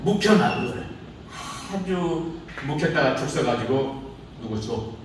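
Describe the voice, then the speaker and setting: A middle-aged male speech instructor, lecturing in an informal way and needling his class with rhetorical questions. His pitch swings widely as he talks. He is heard from far off in a very echoey classroom, with faint room noise behind him. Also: animated, a little slow. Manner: informal banter with students.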